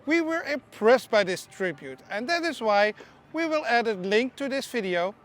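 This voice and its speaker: A woman's voice: a little high, sing-song, normal speed. Chatty woman. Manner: chatty, opinionated, small slips and restarts. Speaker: theme park news presenter. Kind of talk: casual news vlog narration.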